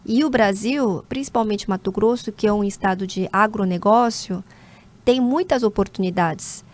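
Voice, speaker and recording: A woman in her forties from South Korea, a corporate lawyer giving an interview at a measured pace with frequent hesitations. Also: mid-range voice. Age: 40s. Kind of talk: conversational interview.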